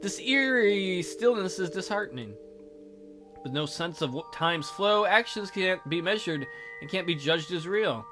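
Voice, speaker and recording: A man's voice: high voice, animated, unhurried, over music. A man hosting a let's play, reading a visual novel aloud theatrically with goofy, crude joking commentary.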